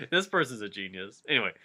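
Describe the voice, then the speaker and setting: A man's voice: fairly low pitch, bright, forceful tone. A man doing a comic dramatic reading with casual, jokey commentary, easily amused by the story. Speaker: reader and commentator.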